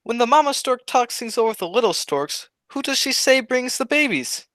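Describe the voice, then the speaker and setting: A man's voice: very high-pitched, very animated, a little fast, fairly bright tone, no echo, heavily acted. A man playing a childlike, cowardly, wisecracking comic sidekick in a radio comedy sketch, with silly, naive punning.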